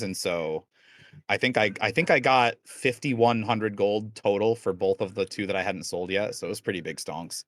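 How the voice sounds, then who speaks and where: An American man, a guest in a casual chat, telling quick enthusiastic stories. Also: on the low side, a bit bright, no echo, studio quality. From United States.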